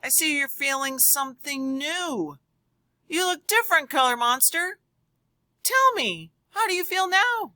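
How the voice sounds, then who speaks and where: A woman voicing a caring little girl who guides a muddled monster friend in a picture book, expressive and sing-song. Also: high voice, unhurried, dry recording, voice pushed up, full character voice. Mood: curious, excited. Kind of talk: children's picture book read-aloud.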